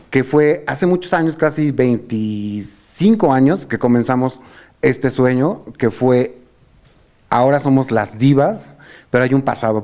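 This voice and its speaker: A man's voice: mid-range voice, a little mellow. A middle-aged man with a Mexican Spanish accent, hosting and telling stories in a casual, reminiscing chat. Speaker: host.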